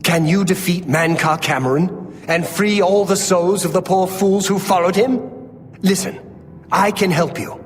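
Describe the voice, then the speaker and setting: Haunted, earnest cultist in a reverberant hall. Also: male voice, high-pitched, measured pace. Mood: urgent, hopeful. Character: regretful male undead cultist, once a raider, pleading. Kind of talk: theatrical fantasy game dialogue. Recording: reverberant hall, faint music behind.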